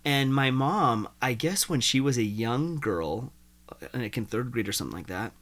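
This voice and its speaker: A young man from India, a guest telling his life story in a casual interview, animated and full of "like". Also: mid-range voice, moderate pace. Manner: animated, uses "like" often.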